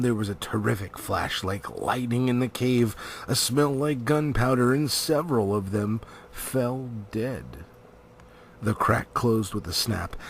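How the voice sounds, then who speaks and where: A man narrating a storybook as an amateur reader, theatrical, with drawn-out words and pauses. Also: medium pitch, creaky, slight background noise. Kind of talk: dramatic storybook reading.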